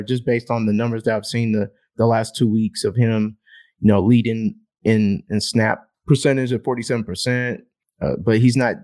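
A man's voice: mid-range voice, a little mellow. A man co-hosting a fantasy football podcast in casual chat, reeling off numbers and repeating words as he thinks. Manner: stat-heavy, repeats words while thinking.

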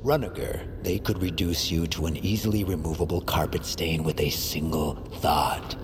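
An actor playing a menacing, domineering master of sorcerers in an audio drama, sardonic and commanding. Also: male voice, rumbling low, steady tone, moderate pace. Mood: stern command. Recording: noisy ambience behind.

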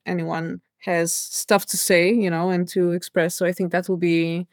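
A woman with a fairly low voice speaks casually in an interview. She rambles a little and feels her way through her thoughts, recorded dry without room sound. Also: flat delivery, moderate pace, no echo. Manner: hesitant, rambling, thinking aloud. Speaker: sound designer, podcast guest.

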